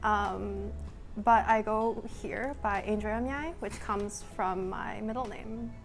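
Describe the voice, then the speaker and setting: A woman who is a student, answering interview questions in a relaxed, self-amused way. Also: medium pitch, slow, music in the background.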